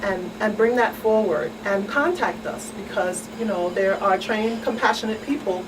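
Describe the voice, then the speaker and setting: A woman speaking as a talk show panelist in an earnest, deliberate, emphatic and compassionate way. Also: mid-range voice. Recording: distant mic, loud air-conditioning noise.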